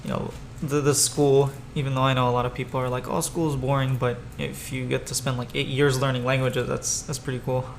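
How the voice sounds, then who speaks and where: Man with creaky voice hosting podcast. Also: medium pitch, flat delivery, a little fast, hardly any pauses, a little hiss or hum. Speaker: podcast host. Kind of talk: casual conversational interview.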